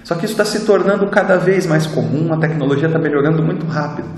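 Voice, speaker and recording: A man's voice: a little high, quick pace. Brazilian man lecturing in a very echoey room. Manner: conversational, frequent tag questions. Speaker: instructor. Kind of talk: informal explanatory lecture. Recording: very echoey reverberant room, background noise.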